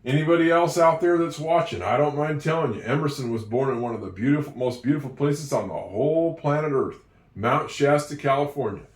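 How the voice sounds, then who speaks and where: A man's voice: fairly high pitch, a little fast, recorded from a distance. A man from Oregon tutoring a child in handwriting, chatty and encouraging as he talks straight to the viewer. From Oregon, USA. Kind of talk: slow, patient writing lesson. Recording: echoey room, voice away from the mic.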